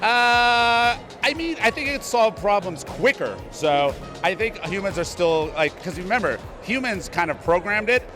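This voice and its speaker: A man with a fairly high voice chats as a guest at an outdoor event, upbeat and emphatic, driving his points home by saying them twice. Loud music plays behind him. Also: expressive, a little slow, hardly any pauses. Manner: upbeat, emphatic, repeats himself. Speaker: interview guest. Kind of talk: casual event interview. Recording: music playing loudly behind.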